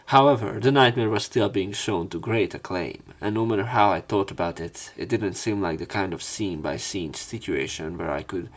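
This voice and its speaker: Male let's player, lowish voice, dramatic read-aloud of game text plus offhand remarks. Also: normal speed, few pauses. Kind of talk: read-aloud game narration with casual commentary.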